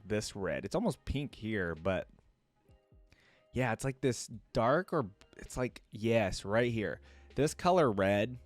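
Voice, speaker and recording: A man's voice: lowish voice, a little mellow, no room sound. A man hosting a reaction video, commenting casually in informal, slangy fan talk.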